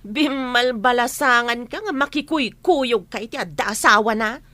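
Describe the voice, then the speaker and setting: A woman's voice: fairly high pitch, moderate pace, sharp tone. A woman playing a brazen, stubborn gossip in an audio drama, arguing insistently and theatrically. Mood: nosy, accusing. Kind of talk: Filipino audio drama acting.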